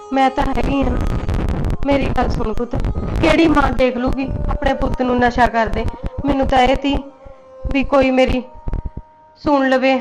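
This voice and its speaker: A high-voiced mother-in-law begs forgiveness and soothes her crying daughter-in-law. A woman acts her out. Faint music plays underneath. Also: lightly acted, low-quality recording. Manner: soothing, pleading, apologetic. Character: remorseful pleading mother-in-law.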